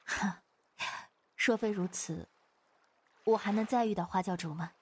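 Young female Taoist played by a woman for wuxia radio drama: bashful, formal, hesitant, mid-pitched, long reverb. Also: a little slow, lightly acted. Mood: sincere. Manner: flustered, hesitant, formal archaic speech. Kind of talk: Chinese wuxia audio drama acting. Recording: long reverb, music behind. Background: music.

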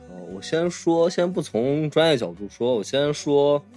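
A man co-hosting a casual film discussion, blunt and slangy, often halting and repeating words. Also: fairly high pitch, lively intonation, darkish tone, soft background music. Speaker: podcast co-host.

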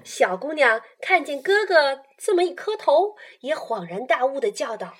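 A woman narrating a children's radio play as a storyteller, in an animated style. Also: high voice, normal speed.

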